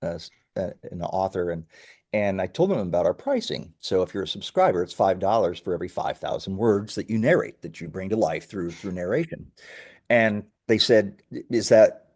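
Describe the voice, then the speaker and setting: Lower-voiced man explaining in an interview. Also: lively intonation, normal speed, darkish tone. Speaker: company founder, interview guest. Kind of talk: conversational interview answers, explaining his business.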